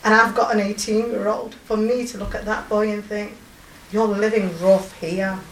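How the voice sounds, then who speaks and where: A woman in her forties with a strong Yorkshire accent, telling of her volunteer work in a halting, emotional way with many pauses and fillers. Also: mid-range voice, flat delivery, reverberant, distant mic, slight background noise, rough recording. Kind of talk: personal testimony.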